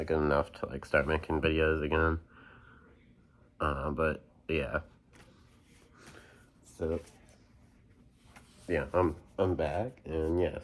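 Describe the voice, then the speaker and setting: Very deep-voiced young man with a stuffy nose. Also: fairly bright tone. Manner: rambling, many ums and restarts. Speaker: book collection vlogger. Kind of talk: casual chat.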